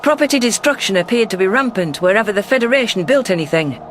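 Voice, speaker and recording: Male narrator, high register, scripted first-person audio fiction, faint music bed. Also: animated, fast talker, fairly bright tone.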